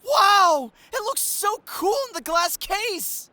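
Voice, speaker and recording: A woman playing a cheerful, eager high-school kid in an audio drama, bubbly and full of exclamations. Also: expressive, slow, sharp tone, dry recording, heavily acted. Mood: excited.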